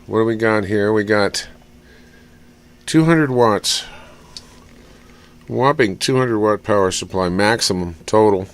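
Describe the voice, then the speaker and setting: A man giving casual, off-the-cuff hands-on commentary in a halting way, as if muttering to himself while he inspects things. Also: lowish voice. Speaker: hobbyist vlogger showing old computer hardware. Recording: clear background noise with room ambience.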